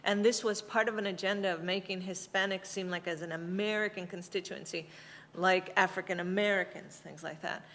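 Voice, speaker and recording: A woman's voice: fairly low pitch, fairly even intonation, fairly quick, a bit bright. A woman researcher on an academic panel, explaining her work at length, thoughtful, with frequent fillers and restarts. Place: lecture hall. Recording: long hall reverb.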